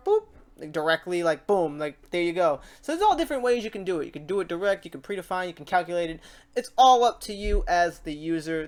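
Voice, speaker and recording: A woman hosting a casual software tutorial, talking through each step aloud in an informal, playful way. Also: on the low side. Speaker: tutorial host.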